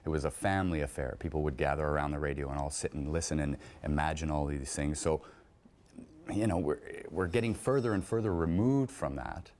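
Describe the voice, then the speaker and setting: A man's voice: a bass voice, brisk, darkish tone. A man, a radio theatre performer, talking casually in an interview. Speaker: radio theatre performer and sound effects maker.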